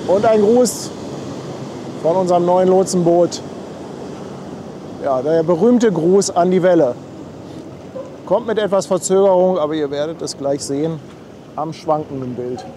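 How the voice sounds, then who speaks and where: A man's voice: high-pitched, measured pace, pauses often, noisy background. A man hosting a casual live stream from outdoors at a harbor, chatting and thanking viewers as he reads out their messages. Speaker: livestream host.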